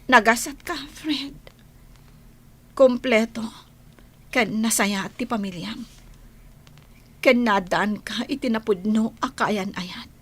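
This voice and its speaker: An actress playing a defiant, bitter woman in a radio drama, with a husky voice and a Filipino accent. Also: a little high, slow, pauses often, fairly bright tone. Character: defiant woman confessing to an affair, bitter and unapologetic.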